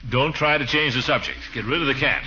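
An actor playing a fussy, exasperated middle-aged uncle, pompous and blustery, in 1940s radio comedy style. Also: male voice, medium pitch, fast talker, bright, forceful tone. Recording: dull, noisy 1940s radio recording.

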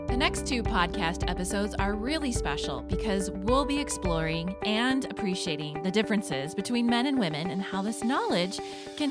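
A woman hosting a podcast, reading an upbeat, polished intro. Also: mid-range voice. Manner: upbeat, polished promo delivery. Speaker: podcast host. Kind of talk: scripted intro then casual interview. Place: mountain region home.

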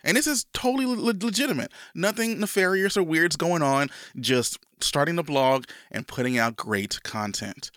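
A man's voice: fairly high pitch, expressive, normal speed, hardly any pauses, a bit bright, dry recording, clean studio sound. A man hosting a podcast, sounding a little congested from a cold, enthusiastic and rambling with restarts. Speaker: podcast host. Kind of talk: casual podcast intro and interview.

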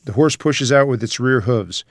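A man's voice: mid-range voice, normal speed, dry recording, studio quality. A man reading out screenplay scene headings and stage directions as a scripted narrator.

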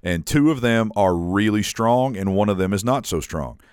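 Deep-voiced man telling a rambling story. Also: moderate pace, darkish tone, clear, resonant tone, dry recording, clean studio sound. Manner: rambling storyteller, acts out others' lines, swears casually. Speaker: podcast co-host. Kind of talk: casual podcast chat.

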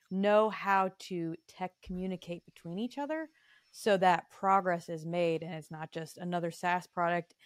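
Woman podcast host, lower pitch, relaxed and affirming, dry studio sound. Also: dry recording. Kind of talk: conversational interview.

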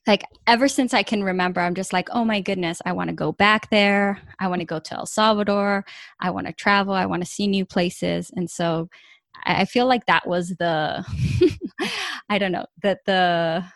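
A woman's voice: mid-range voice, moderate pace, a bit bright, no room sound. Eager woman in her thirties, dry sound. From California. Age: 30s. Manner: eager, chatty storytelling. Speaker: guest. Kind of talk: podcast interview.

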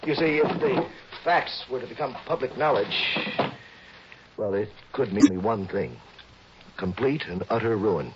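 A man's voice: fairly low pitch, lively intonation, measured pace. An actor playing a worried, stammering wealthy man of about fifty in a 1960s radio drama. He speaks nervously and pleads. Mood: anxious. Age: 50s. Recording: dull old radio broadcast with faint hiss.

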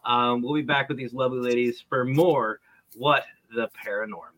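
A man's voice: medium pitch, normal speed, a bit bright. A man hosting a casual comedy panel, upbeat and prompting his guests with questions. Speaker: show host. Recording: dull lo-fi sound.

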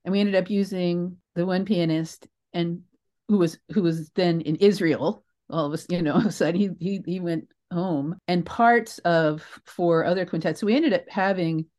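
A woman's voice: on the low side, moderate pace, darkish tone. A woman in her sixties talking in an interview as a theatre creator, telling anecdotes in a digressive, emphatic way. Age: 60s. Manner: rambling, digressive, emphatic storyteller. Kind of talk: conversational interview answers, anecdotal.

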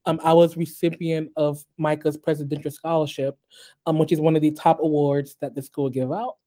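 Guy on a podcast, haiti-born, raised in the us, mellow voice, lots of ums, starts over a lot. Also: on the high side, level tone. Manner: measured, many ums and restarts. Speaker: guest artist. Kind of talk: podcast interview.

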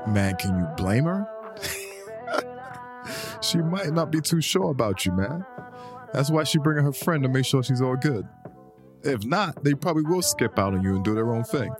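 A man's voice: mid-range voice, very animated, moderate pace, long pauses, music in the background, close to an everyday voice. A man playing a brash, teasing friend in a casual audio drama, blunt and slangy, giving advice over a game of pool. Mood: blunt, advising.

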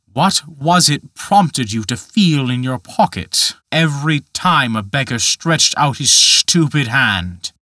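A man voicing a huge, scornful forest spirit who tempts a young man, speaking slowly and mockingly. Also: mid-range voice, expressive, sharp tone, no echo, clean studio sound, exaggerated voice. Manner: slow, mocking, emphatic.

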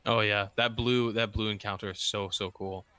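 Bright-voiced man in casual podcast chat. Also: lowish voice, fairly even intonation, normal speed, dry recording. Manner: informal, enthusiastic, self-correcting. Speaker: podcast guest or contributor.

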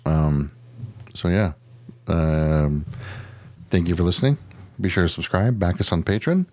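A man's voice: low-pitched, measured pace, a little mellow, no echo. A man hosting a podcast in casual chat, with an offhand, loose and informal way of talking. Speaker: podcast host.

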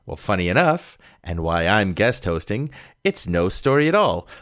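A man with a very low voice speaks as a podcast guest host. His delivery is a conversational read from notes, captured very dry with almost no room sound. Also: very animated, normal speed, fairly bright tone, dry recording. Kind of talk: conversational scripted introduction.

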